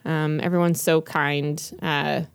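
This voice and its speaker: A woman with a lowish voice co-hosts a podcast in relaxed, rambling chat, full of fillers and restarts. The sound is dry and close. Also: takes it slowly, vocal fry, clean tone, no echo. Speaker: podcast co-host. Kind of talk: casual chat about books.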